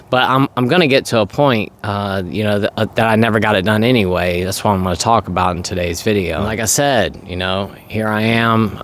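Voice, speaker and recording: A middle-aged man talks casually in a low, raspy voice that sounds worn by allergies. He rambles conversationally as he would in a personal vlog, with clear noise behind him. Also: fairly bright tone. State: congested by allergy, voice going, clearing throat. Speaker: vlogger.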